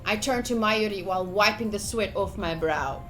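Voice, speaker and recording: A woman livestreaming a visual novel playthrough, reading the text aloud with excitable, playfully dramatic and casual commentary. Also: mid-range voice, normal speed, faint music behind, poor audio. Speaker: streamer playing a visual novel.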